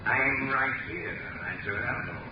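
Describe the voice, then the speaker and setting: Slow creepy echoey invisible avenger, mocking, old crackly radio play. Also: male voice, medium pitch, dull audio. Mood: taunting. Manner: slow, taunting, menacing. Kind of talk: 1930s radio acting. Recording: scratchy 1930s radio recording.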